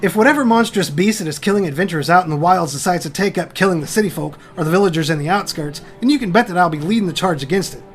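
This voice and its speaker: A man's voice: high-pitched, rapid-fire, hardly any pauses, faint music behind, lightly acted. A man playing a brusque, irritable guard captain in a fantasy audio drama, curt, scornful and commanding. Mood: angry.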